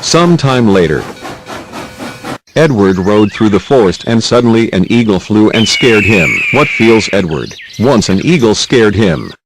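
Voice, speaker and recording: A man's voice: fairly low pitch, lively intonation, a little slow, noisy background. A man reading scripted narration for a children's quiz video, with simple prompts in non-native English phrasing.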